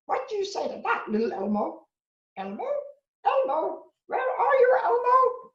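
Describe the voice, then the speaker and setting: Storybook monster, male, furry and blustering, played by a woman: high, wildly swooping delivery, melodramatic begging. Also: wildly swooping pitch, unhurried, fairly bright tone, heavily acted. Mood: anxious. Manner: melodramatic, pleading. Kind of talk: animated children's read-aloud. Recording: roomy, dull lo-fi sound.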